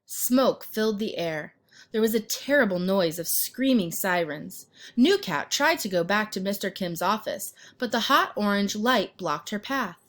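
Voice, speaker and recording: Woman, children's read-aloud narrator, lively intonation, medium pitch, expressive storytelling. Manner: pauses to ask the listener questions and point out pictures.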